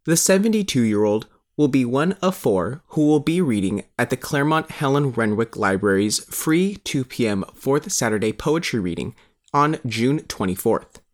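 A man narrating a scripted news article as a reporter, with a measured, formal delivery. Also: medium pitch, lively intonation. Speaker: newspaper reporter reading his article.

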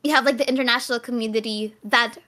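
A young woman from the Philippines, a guest content creator, telling stories in animated, laugh-filled casual chat. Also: on the high side, fast talker. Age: young adult. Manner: animated storytelling, laughs often between words.

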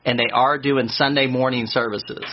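A man hosting a radio interview and reading sponsor notices, friendly and relaxed, laughing easily. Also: mid-range voice, normal speed. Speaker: radio host. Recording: muffled radio recording.

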